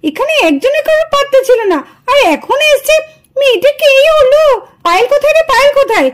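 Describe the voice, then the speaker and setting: Woman voicing a very high, cooing ghost auntie. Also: big pitch swings, fast. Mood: scolding. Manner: cooing, exclaiming, scolding.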